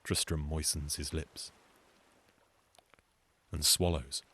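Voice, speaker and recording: A man's voice: a bass voice, little pitch movement, slow pace, bright, forceful tone, husky. A man with a British accent telling a scripted comic story in a wry, chatty way, full of asides. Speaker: storyteller and singer.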